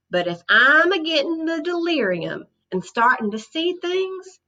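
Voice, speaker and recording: A woman's voice: mid-range voice, sharp tone, exaggerated voice. Woman putting on a blustering tiny Texan cowboy. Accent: Texas. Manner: drawling, ungrammatical, blustering. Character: tiny toy cowboy come to life, gruff, self-pitying drinker. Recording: slightly dull lo-fi recording.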